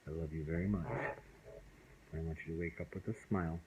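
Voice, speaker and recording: A father narrating a bedtime story in a gentle, loving, unhurried way. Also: a bass voice, steady tone, lo-fi sound, noisy background.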